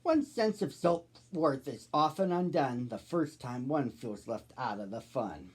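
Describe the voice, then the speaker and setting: Husky-voiced man reading a children's story. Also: mid-range voice, expressive, a little slow, darkish tone. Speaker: storybook reader, host.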